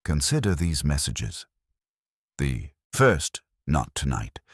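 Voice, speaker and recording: A man voicing a mild, courteous police inspector with a measured, conversational, quietly ironic manner in a mystery drama. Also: a bass voice, sing-song, slightly rough, dry recording, clean studio sound, full character voice. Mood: calm, probing.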